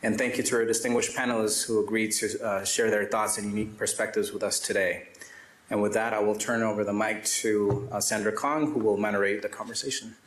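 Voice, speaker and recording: A man's voice: fairly low pitch, fairly even intonation, brisk, a bit bright. A man giving formal opening remarks at an event, reading figures aloud with frequent fillers and restarts. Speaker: event presenter. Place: event hall. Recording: roomy hall sound.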